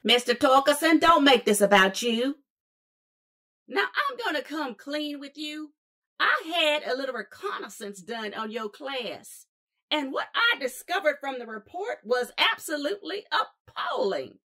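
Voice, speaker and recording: Sitcom casting: woman playing firm, exasperated female principal, high voice, emphatic scolding manner. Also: very animated, unhurried, fairly bright tone, full character voice. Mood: stern. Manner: stern, admonishing, emphatic. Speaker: actress playing a school principal. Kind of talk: sitcom acting.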